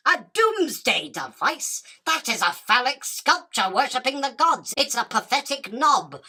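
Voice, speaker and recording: A woman's voice: fairly high pitch, bright, forceful tone, full character voice. A woman playing a haughty, hungry spider queen in a radio drama, speaking with dry scorn and royal command. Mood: scornful. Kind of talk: illustrated radio drama acting.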